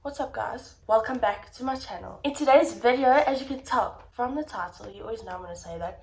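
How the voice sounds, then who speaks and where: A woman's voice: on the high side, rough recording. A young woman vlogger, slightly blocked up and nasal, chatting casually and encouragingly straight to her viewers. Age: young adult. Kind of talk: casual talk to camera.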